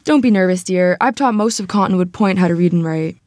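A woman's voice: on the low side, brisk, a little mellow, no echo, studio quality, close to an everyday voice. A woman acting a sturdy, proud, church-going Southern teacher in her fifties in a screenplay table read, firm, motherly and matter-of-fact. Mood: firm, reassuring.

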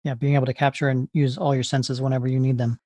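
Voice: medium pitch, little pitch movement, fast, mellow, no room sound. An interview host asking long, meandering questions with restarts. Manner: rambling, searching questions with restarts. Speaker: podcast host.

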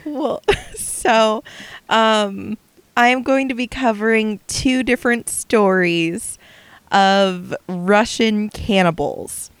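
A woman's voice: medium pitch, slow, clean tone, no room sound. A young adult woman co-hosting a casual true-crime podcast, recounting a case with chatty asides. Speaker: podcast co-host.

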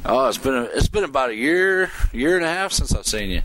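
A man's voice: mid-range voice, big pitch swings, fairly quick, fairly bright tone, rough recording. A man interviewing a guest in a casual, informal and chummy way. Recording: clear background noise, clicks.

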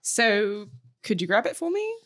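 A woman's voice: mid-range voice, very animated, unhurried, pitched below the performer's own voice. A woman playing a casual, wheedling young girlfriend in a comedy sketch read. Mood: coaxing. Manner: casual, coaxing.

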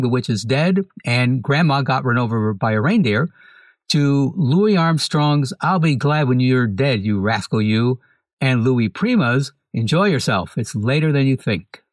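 A man hosting a podcast, reading a scripted introduction to a segment in a measured way. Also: medium pitch, expressive, no echo. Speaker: podcast host.

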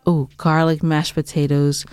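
Low-voiced, smooth American woman podcaster. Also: level tone, a little slow, darkish tone, no room sound, soft background music. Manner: emphatic, argumentative, dramatizing complaints. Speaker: podcast co-host. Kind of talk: casual, bantering podcast chat.

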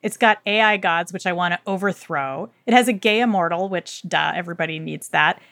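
A woman's voice: lowish voice, fairly quick, a bit bright, dry recording, clean studio sound. A woman co-hosting a podcast, chatting casually and effusively in colloquial, slangy language as she recommends books. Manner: enthusiastic, effusive. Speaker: podcast co-host. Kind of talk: casual book recommendation chat.

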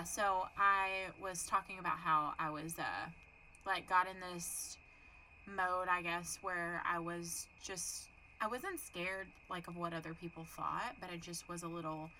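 A young woman with a Texan accent, talking in a casual, frank way and dropping the odd swear word. Her voice is bright and forceful, sitting a little low in pitch. Faint noise hums behind her. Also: measured pace, low-quality recording. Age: young adult. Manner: chatty, frank, swears casually, laughs often. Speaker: podcast co-host. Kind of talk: casual chat.

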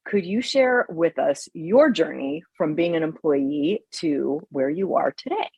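A woman's voice: low-pitched, animated, unhurried, a little mellow, husky, no room sound, muffled recording. A woman hosting a podcast, introducing her guest and asking interview questions.

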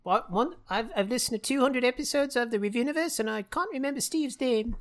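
A man's voice: very high voice, brisk, heavy background noise, pitched above the performer's own voice. A man voicing a cheerful Australian male podcast fan, with a put-on Australian accent and a chummy, rambling way of talking. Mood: cheeky.

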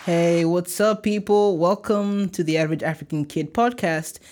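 A man's voice: high voice, normal speed, darkish tone, faint music behind. A young man from Nigeria with a light Nigerian accent, hosting a casual solo podcast in an upbeat, chatty, rambling way. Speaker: podcast host.

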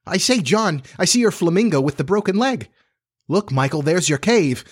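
A man voicing excitable young children in a storybook, eager and exclaiming. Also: medium pitch, a little slow, no echo, studio quality. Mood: excited.

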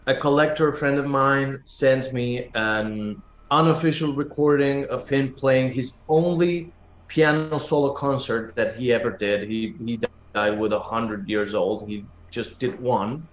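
A man's voice: mid-range voice, slight background noise. Argentine man in an echoey room. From Argentina, raised in Buenos Aires. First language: Spanish. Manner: halting, repeats words as they search for phrasing. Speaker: musician guest, pianist and teacher. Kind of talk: conversational interview answers, telling stories.

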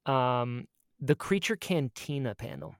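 A podcast co-host of ambiguous-sounding sex with a medium-pitched voice, recorded close and dry. The delivery is casual and gushing, full of a fan's enthusiasm, with phrases repeated for emphasis. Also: lively intonation, unhurried, dry recording. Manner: acts out quoted exchanges in storytelling. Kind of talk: casual chatty podcast catch-up.

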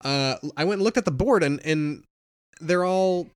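A man's voice: high voice, moderate pace, smooth, dry recording, clean studio sound. A young man co-hosting a podcast in casual chat, rambling and laughing often. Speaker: podcast co-host.

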